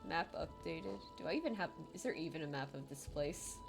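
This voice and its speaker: A woman's voice: low voice, expressive, fairly quick, gravelly. A woman with a light Filipino accent, chatting casually on a game live stream. She reads chat aloud, is self-deprecating and laughs often. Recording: game music under the voice. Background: game music.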